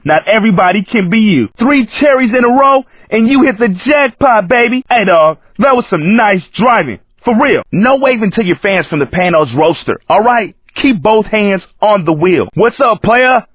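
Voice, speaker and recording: A cocky American street-racing hype man from a video game, slangy and teasing. His voice is high and husky with lively swings. The sound is dry, muffled game audio. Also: moderate pace, few pauses, dry recording. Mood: hyped, approving. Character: cocky, hip male San Francisco street-racing coach, joking and hyping. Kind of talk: video game character commentary.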